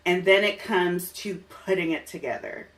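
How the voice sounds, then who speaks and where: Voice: medium pitch, moderate pace, fairly bright tone. Chatty podcast host, slightly off the mic. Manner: enthusiastic, chatty. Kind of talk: casual interview conversation. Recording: slightly distant mic, music underneath.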